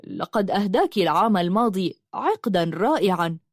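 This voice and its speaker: A woman's voice: medium pitch, expressive, no echo, natural voice. Woman reading as a solemn young magician, dry sound. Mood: knowing, serious. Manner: serious, measured, kindly. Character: young man posing as a solemn, gentle magician.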